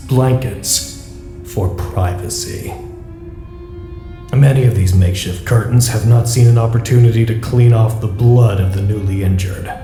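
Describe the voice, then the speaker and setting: An actor playing a brooding, wary facility staffer recording his observations in an audio drama, measured and ominous with dry wit. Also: lowish voice, dark tone. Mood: uneasy, wry. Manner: measured, ominous, dryly wry.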